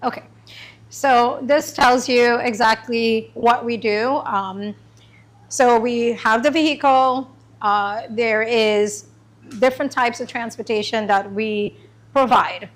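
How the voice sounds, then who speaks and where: A middle-aged woman from Trinidad and Tobago giving a chatty, informal talk about her business to a small group, with many pauses and ums. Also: a little high, steady tone. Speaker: small business owner presenting. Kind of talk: informal pitch presentation. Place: meeting room.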